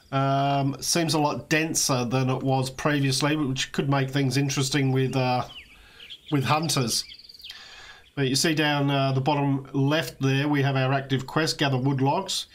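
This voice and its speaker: An Australian man, slightly hoarse, giving a relaxed walkthrough of a video game he is playing, with frequent fillers. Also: mid-range voice, unhurried, slight background noise. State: slightly hoarse, losing his voice. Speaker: let's play host. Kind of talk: casual gameplay walkthrough commentary.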